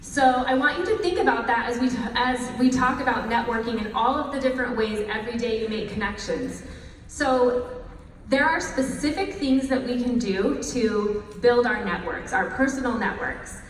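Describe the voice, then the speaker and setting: A woman's voice: on the high side, normal speed, slight background noise. A woman hosting a breakout session, speaking to an audience in an upbeat, motivational way. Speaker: session host. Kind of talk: presentation to an audience. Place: large echoey hall. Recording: roomy hall recording from a distance.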